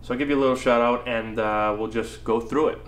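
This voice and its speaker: Stuffy-nosed coach with a cold. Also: male voice, medium pitch, fairly even intonation, brisk, a little hiss or hum. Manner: casual, coachy, tags lines with "right?". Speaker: baseball coach answering viewer questions. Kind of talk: casual talking-head Q&A. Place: small indoor room, plain wall. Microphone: camera in front, head and shoulders. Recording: echoey room.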